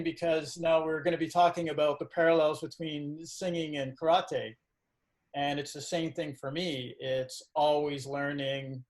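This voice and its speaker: Chatty man hosting a video interview. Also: a little high. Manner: relaxed, chatty, frequent fillers. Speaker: karate instructor and interviewer.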